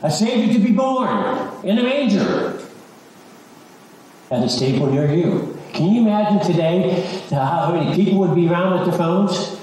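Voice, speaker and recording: A middle-aged or older man addressing a church congregation as a lay leader, halting and repeating words. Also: high-pitched, lively intonation, a little mellow. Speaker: church lay leader and search committee chair. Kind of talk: church announcement and short devotional to a congregation. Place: large church sanctuary, indoors. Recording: echoey church, distant microphone, background noise.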